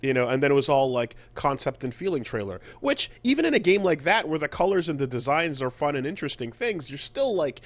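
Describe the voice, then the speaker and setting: A man's voice: on the high side, big pitch swings, brisk, hardly any pauses. A man co-hosting a podcast, chatting and commenting informally with plenty of swearing. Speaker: podcast co-host. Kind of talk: casual podcast chat, commentary.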